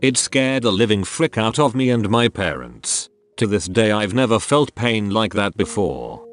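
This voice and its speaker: A man's voice: lowish voice, sing-song, a little slow. A man reading out forum stories as narration over background music. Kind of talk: read-aloud narration.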